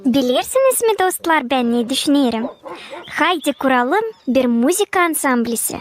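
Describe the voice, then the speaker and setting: Eager high-voiced young cartoon animal. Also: female voice, expressive, moderate pace. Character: eager young animal child keen on making music. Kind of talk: cartoon character acting.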